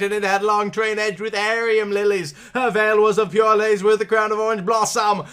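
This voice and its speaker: Male storybook narrator, highish and very bright, dramatic delivery that stretches key words and swells and falls. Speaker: reader of a storybook. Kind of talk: theatrical comic audiobook narration.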